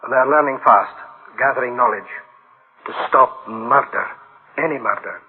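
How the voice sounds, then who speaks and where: A man's voice: medium pitch, measured pace, close to an everyday voice. An actor playing a troubled scientist brooding over his invention in a radio drama, with clipped dramatic phrasing on a tinny recording. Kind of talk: dramatic radio play acting.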